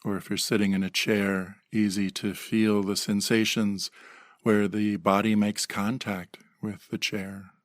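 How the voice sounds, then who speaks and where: A man leading a guided meditation in a gentle, unhurried manner with pauses, recorded close to the microphone. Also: lowish voice, level tone, dry recording. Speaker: meditation teacher.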